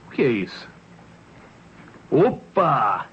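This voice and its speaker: An actor playing a polite, eager young Brazilian boyfriend, effusive and courteous, in an old radio drama. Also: male voice, medium pitch, big pitch swings, slow pace, a bit bright, husky, heavily acted. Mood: cheerful, grateful. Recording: dull old radio recording.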